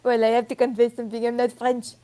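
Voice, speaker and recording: A woman's voice: fairly high pitch, completely flat delivery, fast, mellow. A woman with an Israeli accent joking and teasing while playing a game with friends. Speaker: game player. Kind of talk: casual let's-play chat.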